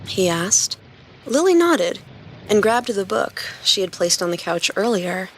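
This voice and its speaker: A woman's voice: medium pitch, big pitch swings, unhurried, slight background noise, rough recording. A woman reading scripted story narration as a podcast narrator and host.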